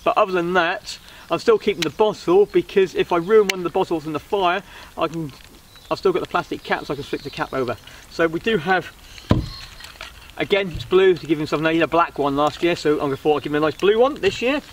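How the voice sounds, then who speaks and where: A man's voice: a little high, fairly quick. A man in his thirties giving a casual, informal gear review to camera, rambling a little and hesitant. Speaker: vlogger reviewing budget camping gear. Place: outdoors on a wooden riverside deck. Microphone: camera a couple of metres away. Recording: outdoor recording, distant mic, background noise.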